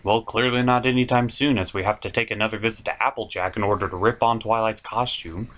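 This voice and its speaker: A man's voice: on the low side, fairly even intonation, fast talker, fairly bright tone. A man hosting a scripted comedic review, narrating in a sarcastic, exasperated manner. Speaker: host of a comedic cartoon review show.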